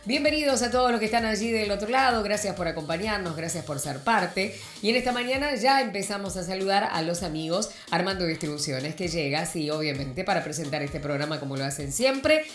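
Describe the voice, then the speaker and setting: A woman's voice: fairly low pitch, expressive, fairly quick, hardly any pauses, reverberant. A woman with an Argentine accent hosting a morning radio show, chatting casually and rambling with lots of fillers and restarts. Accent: Argentine Spanish. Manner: warm greeting, rambling with many fillers and restarts. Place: radio studio.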